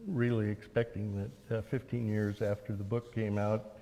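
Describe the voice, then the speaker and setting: An American man in his seventies talking as an interview guest, recounting his work and memories in a measured, halting way. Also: fairly low pitch, flat delivery, dark tone. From Oregon, United States. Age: 70s. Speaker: interview guest, author and journalist. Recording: long hall reverb.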